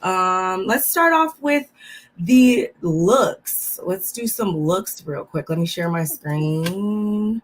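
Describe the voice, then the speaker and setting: A woman co-hosting a reality TV recap. She is a little under the weather and talks in a relaxed, halting way with many fillers. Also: mid-range voice, slight background noise. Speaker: co-host of a reality TV recap show. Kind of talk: casual chat reviewing looks.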